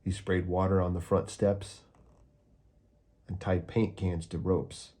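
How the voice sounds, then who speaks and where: A man reading a children's picture book aloud with calm, steady storytelling. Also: low voice, slow pace. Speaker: storybook reader.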